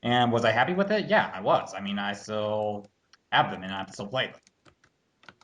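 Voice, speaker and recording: A man's voice: on the low side, expressive, normal speed, fairly bright tone, slight background noise. A man chatting casually as a co-host, agreeable and somewhat hesitant in the way he talks. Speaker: online commentary co-host. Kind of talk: casual chat.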